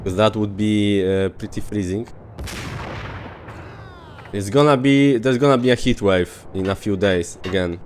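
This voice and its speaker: A man in his thirties with a Polish accent, chatting loosely with viewers and swearing at the game as he streams. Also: deep voice. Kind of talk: casual livestream chat over gameplay. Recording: noisy game audio underneath. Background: game gunfire and ambience.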